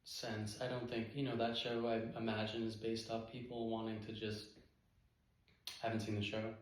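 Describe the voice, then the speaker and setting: A man chatting casually with his partner as co-host of a couple's channel, in halting phrases full of fillers. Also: on the low side, monotone. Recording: very echoey room.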